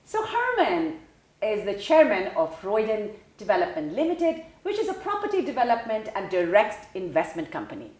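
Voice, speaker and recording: A woman hosting an interview show, delivering a polished, expressive scripted introduction and asking questions. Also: mid-range voice, moderate pace. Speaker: show host. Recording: echoey room.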